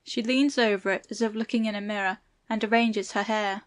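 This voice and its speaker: Woman narrator with medium pitch. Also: fairly even intonation, brisk. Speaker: narrator reading stage directions. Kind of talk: scripted audiobook narration.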